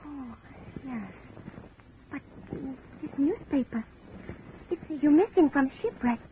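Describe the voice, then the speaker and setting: An actress playing an earnest, guarded young foreign woman in a 1950s radio drama, with a foreign accent and halting, careful English. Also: high voice, animated. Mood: startled, hesitant. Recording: tinny, narrow, hissy old radio recording.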